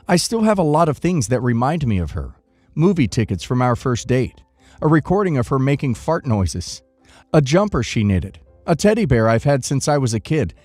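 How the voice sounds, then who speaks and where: A man narrating first-person online stories from a script in an expressive reading manner. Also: medium pitch, no echo.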